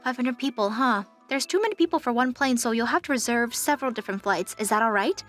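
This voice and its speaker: A woman's voice: fairly high pitch, quick pace, fairly bright tone, faint music behind, lightly acted. A woman playing a polite, helpful young travel advisor in a manga dub, with a courteous customer-service manner. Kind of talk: English manga dub acting.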